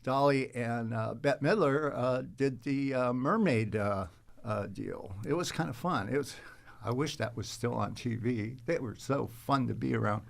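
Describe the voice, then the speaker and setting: A man speaking as an interview guest, telling casual stories in a halting, rambling way. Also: medium pitch, vocal fry. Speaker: guest, actor.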